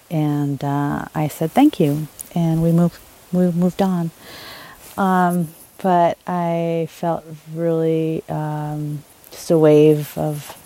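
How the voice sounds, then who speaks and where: A woman thru-hiker recording a reflective video diary outdoors, talking in a halting way with many fillers and restarts. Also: low-pitched, warm tone, low-quality recording. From Los Angeles, USA. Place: outdoors on a long-distance trail.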